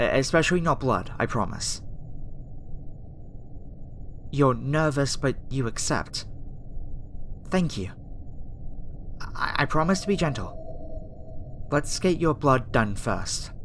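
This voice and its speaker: A woman's voice: extremely low pitch, expressive, measured pace, pauses often. An earnest alien girl researcher, acted by a woman for an ASMR roleplay. She is reassuring but hesitant and easily flustered, tripping over her words. A noticeable ambient noise sits behind the voice. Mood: gently professional.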